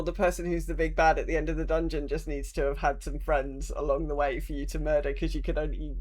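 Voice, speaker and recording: A woman co-hosting a podcast, chatting casually about a video game in a wry, rambling way that can turn sweary. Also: deep voice. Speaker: podcast co-host.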